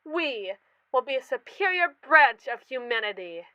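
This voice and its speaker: Woman playing a haughty, high-voiced space mogul. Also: sing-song, moderate pace, pushed and bright, dry recording, highs cut off, exaggerated voice. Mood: grandiose. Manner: pompous, theatrical, condescending. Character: haughty, callous female space mogul lording over colonists. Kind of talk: scripted ASMR roleplay monologue.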